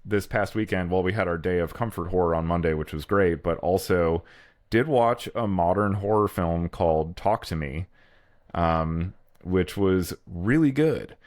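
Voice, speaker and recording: A man's voice: sits low, measured pace, a little mellow, no echo, studio quality. A man chatting casually and informally as a podcast co-host, with some swearing. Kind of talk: casual chat about horror movies.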